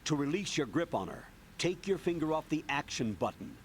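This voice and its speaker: An actor playing a male commanding officer who gives brisk, step-by-step instructions. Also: fairly high pitch, sing-song, a little hiss or hum.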